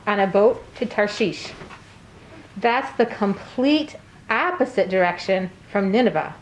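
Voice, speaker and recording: A woman narrating a scripted story for children in a simple, clearly phrased read-aloud way. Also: medium pitch, slight background noise, rough recording. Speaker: narrator of a children's church puppet show.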